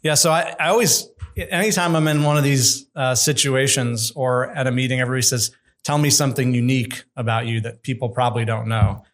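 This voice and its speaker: A middle-aged man, a construction executive, speaking in a measured, candid and thoughtful way as a guest in an interview. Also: mid-range voice, steady tone. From United States. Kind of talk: conversational interview.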